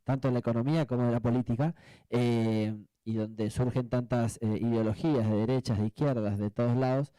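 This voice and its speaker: A man with an Argentine accent speaking off the cuff as a panelist, reflective and halting, often adding tag questions. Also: mid-range voice, steady tone, mellow, clean tone, dry recording. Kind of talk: spontaneous panel discussion answer.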